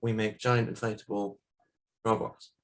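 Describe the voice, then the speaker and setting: A man introducing himself as an artist, soft-spoken and trailing off. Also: fairly low pitch, little pitch movement, normal speed, a little hiss or hum. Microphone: video call.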